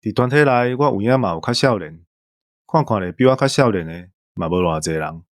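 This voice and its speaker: A man's voice: lowish voice, expressive, brisk, dry recording, clean studio sound. A man in his forties with a Taiwanese accent, co-hosting in scripted casual banter. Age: 40s. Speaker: co-host.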